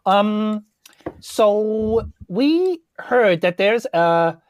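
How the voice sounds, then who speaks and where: A woman's voice: mid-range voice, lively intonation, drawn-out words, a little mellow, clear, resonant tone, dry recording. A woman improvising casually as a tabletop game player, in a hesitant, rambling way. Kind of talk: casual in-character improvised chat.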